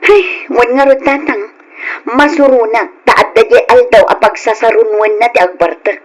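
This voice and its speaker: A woman plays an aggrieved young wife and mother in an old Ilocano radio drama. Her voice is high and nagging, captured with the sound of old radio. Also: moderate pace, lightly acted, rough recording.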